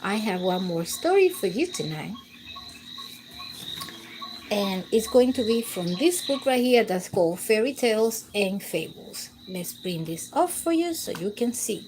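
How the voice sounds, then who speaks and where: A woman reading a bedtime story aloud to children, gentle and clearly expressive. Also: mid-range voice, measured pace, slight background noise, rough recording.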